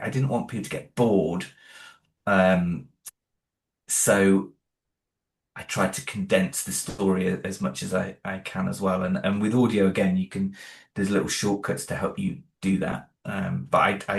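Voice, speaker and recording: A man's voice: low-pitched, fairly even intonation, normal speed, gravelly. A man being interviewed about the audio drama he created, hesitant, with many fillers and restarts. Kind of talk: interview guest.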